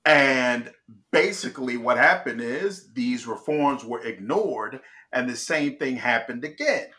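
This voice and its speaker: Male host, from Chicago, bright and forceful tone, emphatic conversational commentary. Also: mid-range voice, expressive, unhurried. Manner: emphatic, restarts and checks in with "all right?". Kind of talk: casual political commentary.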